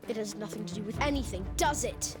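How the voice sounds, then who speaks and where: A woman's voice: mid-range voice, fast, lightly acted. A woman voicing a defiant, idealistic young street boy in a video game, spirited and brash. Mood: earnest, upset. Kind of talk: video game cutscene acting. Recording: loud music under dialogue.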